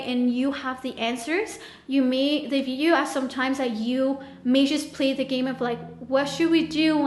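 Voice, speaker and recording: A woman's voice: sits high, reverberant. A woman giving a casual tarot reading, chatting loosely and speaking straight to the viewer, her voice a little strained. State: voice feels off, a bit strained. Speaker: tarot reader.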